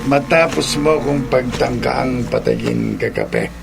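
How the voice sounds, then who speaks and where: Menacing, gravel-throated male vampire. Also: medium pitch, slow. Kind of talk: Filipino horror radio drama acting. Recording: loud dramatic music behind. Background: dramatic music.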